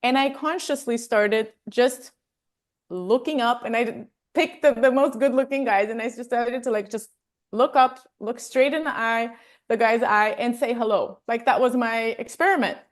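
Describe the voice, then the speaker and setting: A woman's voice: on the high side, moderate pace. A woman from Armenia, a wellness coach and interview guest, telling stories in a chatty, casual way with lots of fillers.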